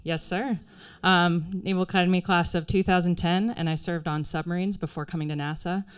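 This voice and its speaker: A woman's voice: on the low side, fairly even intonation, brisk, clear, resonant tone. A woman from Washington State giving a polite, formal self-introduction as an astronaut candidate.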